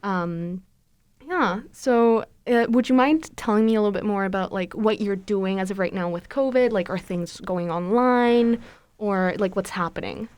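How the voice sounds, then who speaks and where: Young woman, podcast co-host, chatty, clear voice, dry close mic. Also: medium pitch, normal speed, a little mellow, no echo. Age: young adult. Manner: chatty, upbeat, frequent fillers. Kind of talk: casual podcast interview.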